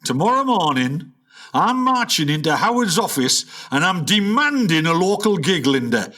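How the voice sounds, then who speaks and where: High-voiced blustering aging jazz father played by a man. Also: very animated, moderate pace, sharp tone, heavily acted. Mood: boastful, eager. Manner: boastful, old-time hipster slang. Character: blustering aging jazzman father, hopeful then pleading. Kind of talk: comedic radio play acting.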